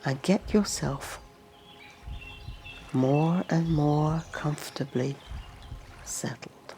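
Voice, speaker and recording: A woman leads a guided meditation in a very slow, soft-edged mellow voice. There is a noticeable creak in her tone, and she leaves space between short, gentle phrases. Faint music plays behind her. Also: low voice, a little hiss or hum. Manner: gentle, unhurried instructions in short phrases with long pauses. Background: music and nature sounds.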